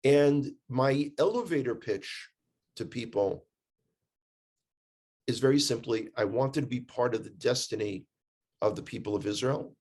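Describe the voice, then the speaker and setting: A man's voice: medium pitch, measured pace, pauses often, darkish tone. A man with an American accent telling stories in a casual, conversational way, emphatic and repeating phrases for effect. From United States. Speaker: guest, tour guide living in Israel.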